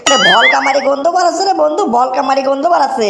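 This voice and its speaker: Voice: high voice, racing, gravelly. A performer voicing a whiny, greedy young village man in a comic cartoon, exclaiming and repeating in a dialect. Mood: panicked, disgusted. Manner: exclamatory, whining, repetitive. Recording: roomy echo, faint background noise.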